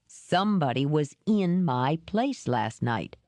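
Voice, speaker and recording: A woman voicing a gruff, remorseful male ex-cop in an audiobook, speaking low, slow and measured. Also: big pitch swings, darkish tone, dry recording, full character voice. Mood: probing. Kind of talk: audiobook character reading.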